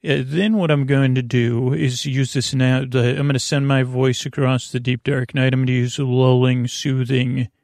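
A man with a creaky voice hosts a sleep podcast, rambling soothingly in hushed golf-commentator tones, haltingly meandering and over-explaining. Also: medium pitch, little pitch movement, no room sound, clean studio sound. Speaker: host of a bedtime sleep podcast.

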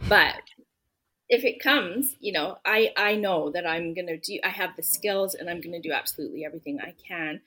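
Brief: woman guest author, husky lowish voice, earnest, emphatic, reflective. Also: normal speed, sharp tone. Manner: earnest, emphatic, reflective, many "you know" fillers. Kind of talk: podcast interview answers.

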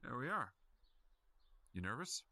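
An actor playing a calm, dryly sarcastic male police officer in an audio drama. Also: medium pitch, measured pace, vocal fry, no echo, studio quality, full character voice.

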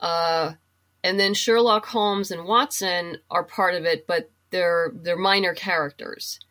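A woman's voice: lowish voice, steady tone, a little slow, fairly bright tone. A woman speaking as an interview guest, explaining things conversationally and thinking aloud in a casual chat about books. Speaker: guest, comic book writer and novelist.

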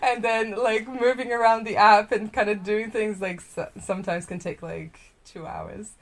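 A woman's voice: medium pitch, fairly even intonation, normal speed. A young woman chatting as a podcast guest. She is bubbly, laughs often and rambles with plenty of fillers. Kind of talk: casual interview chat.

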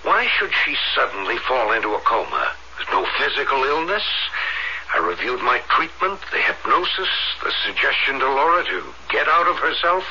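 Bright, forceful earnest psychiatrist. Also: male voice, mid-range voice, big pitch swings, moderate pace, heavily acted. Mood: grave, candid. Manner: earnest, explanatory, urgent. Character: earnest psychiatrist explaining uncanny theories to a worried mother. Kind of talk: radio drama acting. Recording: dull, noisy old radio broadcast.

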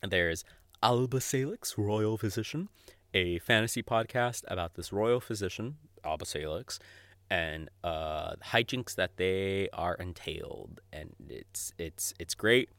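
A young adult man hosting a podcast solo, chatting casually and off the cuff in a giggly, rambling, sweary way with halting false starts. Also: low voice, lively intonation, a bit bright, no echo. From Mexico or of Mexican family. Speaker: podcast host. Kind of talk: casual unscripted podcast monologue.

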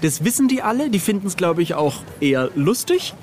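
A man's voice: high voice, very animated, brisk, slight background noise. A middle-aged man chatting casually as an interview guest, telling anecdotes in a jokey, self-deprecating way.

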